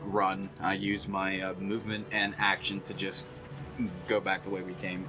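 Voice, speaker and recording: A man's voice: deep voice, monotone, normal speed. A man talking casually as a player in a tabletop roleplaying game. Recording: music playing behind. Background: music.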